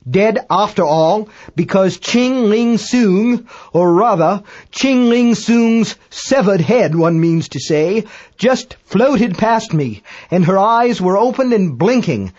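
Pompous, high-pitched gentleman astronomer. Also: expressive, slow pace, highs cut off, full character voice. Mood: flustered, pedantic horror. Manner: pedantic, self-correcting, stiffly formal. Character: pompous, rattled English amateur astronomer, prissily understated amid horror.

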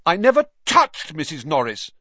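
A man's voice: fairly high pitch, lively intonation, moderate pace, pushed and bright, slightly rough, no room sound, muffled recording, pitched above the performer's own voice, full character voice. A man voicing an earnest schoolboy who speaks defensively in a storybook reading. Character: earnest, defensive schoolboy protesting his innocence.

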